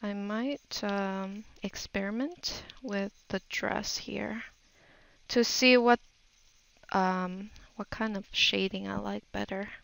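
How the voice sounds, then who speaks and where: A woman narrating a casual art tutorial, unhurried and musing aloud as she works. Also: medium pitch, steady tone, gravelly, a bit bright, low-quality recording. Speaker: digital painting tutor.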